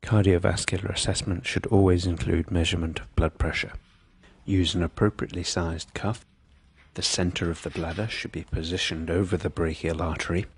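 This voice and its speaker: A man reading scripted medical instruction in a measured, precise, impersonal manner. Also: deep voice, breathy edge, no echo. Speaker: instructional narrator.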